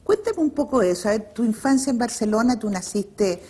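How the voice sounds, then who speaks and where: A woman interviews a guest in an informal, conversational style. Her voice is fairly high with a husky, breathy edge. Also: expressive, a little fast, dark tone. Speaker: television interviewer and host.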